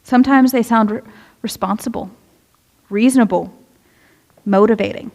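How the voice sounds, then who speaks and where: A woman's voice: on the high side, normal speed, gravelly, darkish tone. Chatty young woman giving a sermon. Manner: chatty, relatable, asks the congregation questions. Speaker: youth minister preaching. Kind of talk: casual conversational sermon. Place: church sanctuary.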